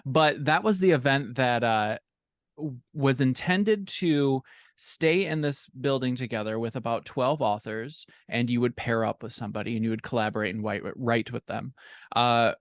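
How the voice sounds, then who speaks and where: A man's voice: mid-range voice, normal speed, no room sound. A man speaking as a guest author, telling a story in a casual, conversational podcast style.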